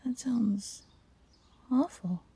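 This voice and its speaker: A woman playing an earnest, pleading fox-hybrid adventurer in a fantasy audio roleplay, questioning in a husky, soft-edged voice. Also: on the high side, very slow, full character voice. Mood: puzzled, concerned.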